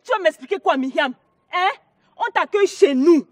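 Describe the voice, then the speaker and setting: A woman's voice: very high-pitched, animated, moderate pace, no room sound, studio quality. A woman with a Cameroonian accent playing an indignant, accusing young sister in a family drama.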